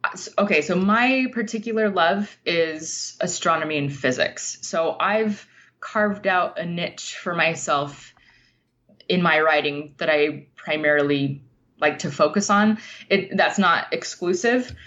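Female interview guest, lower register, measured conversational explaining, slightly dull lo-fi recording. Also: a bit bright.

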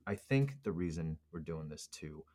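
A man's voice: very deep voice, lively intonation, normal speed, a little mellow. A man born in Korea, a podcast co-host, chatting casually and rambling with lots of fillers and restarts. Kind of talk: casual chat.

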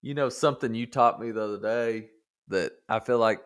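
A man's voice: mid-range voice, fairly quick. A man co-hosting a podcast, chatting casually in a folksy, conversational way. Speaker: podcast co-host. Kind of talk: casual chat.

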